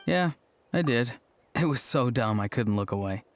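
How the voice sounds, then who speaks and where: A man playing an easygoing young villager who tells stories in a relaxed, dryly amused way. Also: medium pitch, moderate pace, lightly acted.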